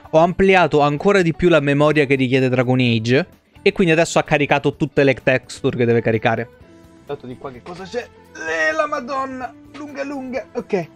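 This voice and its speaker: A young adult man from Italy chatting casually as a game streamer, rambling and swearing freely, on a close desk microphone in a home room. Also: on the high side. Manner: informal, rambling, profane; repeats words for emphasis. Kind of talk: casual livestream chat.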